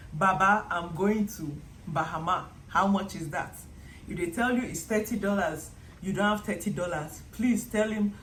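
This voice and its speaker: A young woman from Nigeria with a Nigerian accent, chatting casually to her vlog audience and acting out little quotes as she tells stories. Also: on the low side. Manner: chatty, animated storytelling with acted-out quotes. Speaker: vlogger. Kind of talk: casual vlog monologue to camera. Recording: echoey room with faint background noise.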